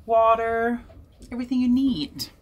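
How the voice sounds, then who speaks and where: A woman's voice: fairly high pitch, expressive, a little slow, a little hiss or hum. A woman, chatting casually to camera as a vlogger in a small boat cabin, rambling and correcting herself as she goes. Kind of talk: casual vlog chat to camera.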